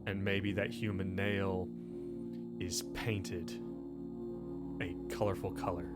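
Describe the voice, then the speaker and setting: A man running a tabletop game, improvising the narration haltingly and repeating himself for suspense. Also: low-pitched, flat delivery, loud music behind the voice. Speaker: game master.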